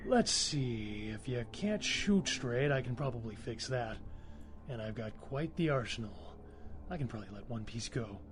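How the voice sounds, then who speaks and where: A man's voice: mid-range voice, animated, moderate pace, close to an everyday voice. An actor playing a cocky, trigger-happy cemetery caretaker in a video game, wry and colloquial, swaggering as he talks. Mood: casual, bargaining. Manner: wry, swaggering, gleeful about violence, colloquial.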